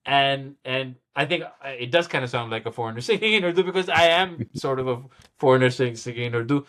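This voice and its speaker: A man's voice: mid-range voice, animated, fast talker, a bit bright. A middle-aged man, a musician being interviewed, recalling his past in casual talk with many restarts and repeated words, often chuckling at himself. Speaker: guest musician.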